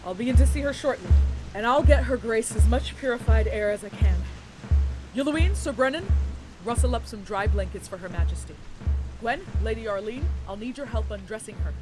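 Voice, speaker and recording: A woman playing a commanding healer in an audio drama, giving brisk, formal instructions. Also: on the high side, animated, music in the background, lightly acted, rough recording. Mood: urgent, in charge. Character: commanding female healer taking charge of the wounded.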